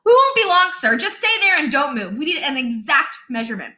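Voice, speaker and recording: Storybook schoolboy schemer, performed by a woman: high, bright, cocky, eager, with mock politeness. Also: very animated, brisk, exaggerated voice. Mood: pleading, eager. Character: Miles, cocky fast-talking schoolboy prankster.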